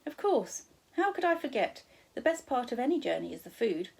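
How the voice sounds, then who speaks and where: A woman voicing a kindly, playful gentleman guardian from a storybook, wryly humorous and reassuring. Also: on the high side, big pitch swings, moderate pace, gravelly, husky, voice pushed up, exaggerated voice. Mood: bright, reassuring.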